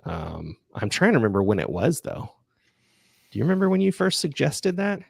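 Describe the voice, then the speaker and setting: A man hosting a podcast livestream, chatting casually and answering listener questions in a halting, self-effacing way. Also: medium pitch, huge pitch swings, gravelly, breathy edge, no room sound, clean studio sound. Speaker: podcast creator and livestream host.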